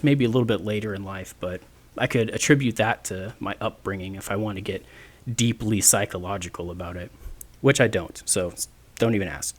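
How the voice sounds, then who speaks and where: A man of twenty-nine hosting a solo podcast, talking in a rambling, self-deprecating way with many restarts. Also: fairly low pitch. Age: 20s. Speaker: podcast host. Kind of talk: casual solo podcast monologue.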